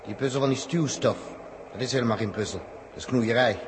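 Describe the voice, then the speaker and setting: An actor playing a gruff, impatient spaceship crewman in 1960s Dutch radio drama, with a Netherlands accent. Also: mid-range voice, brisk, long reverb tail, lightly acted. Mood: gruff, blunt. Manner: gruff, blunt. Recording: noisy old 1960s radio recording.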